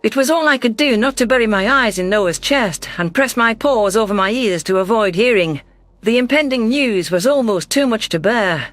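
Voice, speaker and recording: A man's voice: high-pitched, lively intonation, normal speed, fairly bright tone. A man reading a science fiction story aloud as a dramatic first-person narration. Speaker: audiobook narrator. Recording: music bed under voice.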